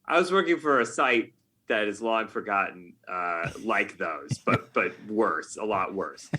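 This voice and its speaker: A man's voice: fairly low pitch, animated, unhurried, sharp tone, slight background noise. A man talking casually as an interview guest, telling stories in a jokey, self-deprecating way. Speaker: podcast guest, game writer.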